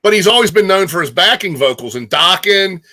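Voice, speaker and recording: Male music host, higher-pitched and very bright, informal chat delivery with repeated phrases for emphasis. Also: lively intonation, brisk. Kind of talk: casual rock music chat.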